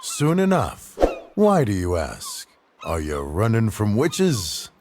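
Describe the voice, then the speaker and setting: A gruff male ship's captain in a fantasy game, speaks slowly in a lowish voice with animated pitch. He sounds wary and suspicious, with faint background noise behind him. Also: exaggerated voice. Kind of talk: fantasy game cutscene acting.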